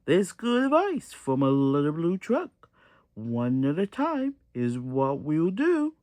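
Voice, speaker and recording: A woman's voice: wildly swooping pitch, takes it slowly, dark tone, no echo, full character voice. A woman voicing a grand male mayor giving a rousing speech in a picture-book story. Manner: booming, speechifying.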